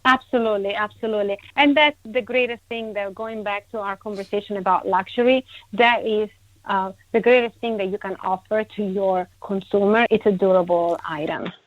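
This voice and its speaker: A woman from Italy, a guest in a conversational interview, emphatic and quick to repeat her agreement. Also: medium pitch, steady tone, no echo. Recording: tinny phone-line sound, dry.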